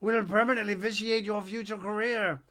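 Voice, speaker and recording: A man voicing a pompous, wordy python snake who speaks in a deliberate, ornate way. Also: high voice, fairly even intonation, pushed and bright.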